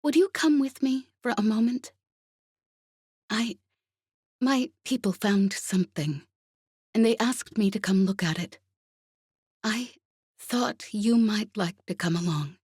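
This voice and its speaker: A woman voicing a brisk, pleasant female comrade with quiet concern in an audiobook. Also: mid-range voice, slightly rough, no echo, studio quality, voice pushed up. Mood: hesitant, hopeful. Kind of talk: audiobook character dialogue.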